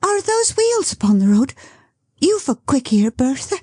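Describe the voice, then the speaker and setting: A woman reading the part of an excitable young wife, talking in a rushed, fluttering way. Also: dry recording, clean studio sound. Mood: flustered. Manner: rushed, fluttering, high-strung.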